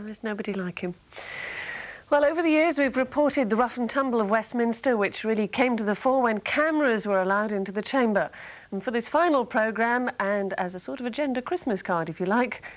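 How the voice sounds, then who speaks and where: A woman's voice: a little high, lively intonation, brisk. A woman delivering a scripted link as a television presenter.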